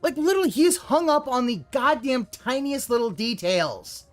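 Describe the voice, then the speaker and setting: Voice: high-pitched, lively intonation, moderate pace. Profane ranting streamer, faint music behind. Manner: ranting, profane, swings into loud yelling. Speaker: streamer reacting to a video. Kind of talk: live reaction commentary.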